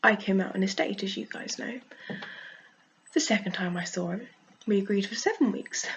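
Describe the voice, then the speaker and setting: A woman with a cold and a croaky voice, frankly venting in a casual vlog and laughing often. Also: mid-range voice, moderate pace, a little hiss or hum. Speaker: vlogger.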